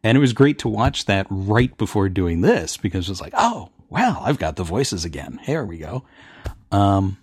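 A middle-aged man talks casually in a lowish voice, recorded close and dry. He is enthusiastic but halting, feeling his way through what he tells. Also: big pitch swings, slightly rough, dry recording. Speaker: panelist. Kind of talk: casual panel chat.

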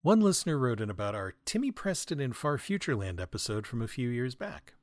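A man hosting a comedy podcast in a wry monologue, deadpan and mock-grandiose, with florid, wordy phrasing. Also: medium pitch, brisk, dry recording, studio quality. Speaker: podcast host, writer and audio editor. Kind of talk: wry comedic monologue, answering listener mail.

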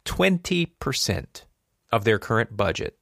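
A man hosting a podcast in a conversational style, musing in a measured way with deliberate pauses. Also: on the low side, big pitch swings, vocal fry, a bit bright, dry recording, clean studio sound. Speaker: podcast host.

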